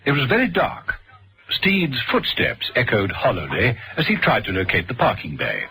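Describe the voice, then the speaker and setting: A man's voice: medium pitch, wildly swooping pitch, normal speed, pushed and bright, rough recording. A man narrating a radio thriller in a dramatic scripted style. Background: music.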